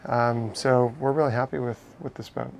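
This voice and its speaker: A man's voice: mid-range voice, steady tone, normal speed, gravelly, a little hiss or hum, poor audio. A man in his thirties giving an enthusiastic, explanatory tour of his catamaran, talking casually with frequent restarts, recorded on a lapel mic on board. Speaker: boat owner giving a tour. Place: inside a catamaran saloon, docked.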